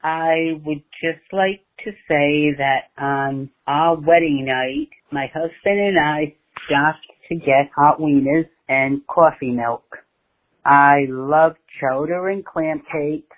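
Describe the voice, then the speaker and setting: A woman with a Rhode Island accent speaks slowly in a recorded listener message. The sound is thin and phone-like. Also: deep voice. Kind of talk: prepared personal message. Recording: dull lo-fi home recording.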